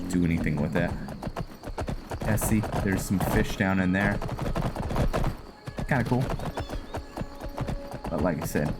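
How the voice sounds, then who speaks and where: A man giving casual gameplay commentary, thinking aloud in short, hesitant phrases. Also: low voice. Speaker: game streamer narrating a roleplay playthrough. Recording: loud game ambience and noise behind. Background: video game sounds, outdoor ambience.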